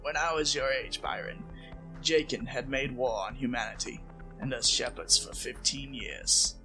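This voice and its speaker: A man playing a frail, aged king and father in an audio drama, speaking softly, formally and with measured gravity. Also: medium pitch, lightly acted, poor audio. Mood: grave, urgent. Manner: soft-spoken, measured, formal. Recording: music bed behind the voice.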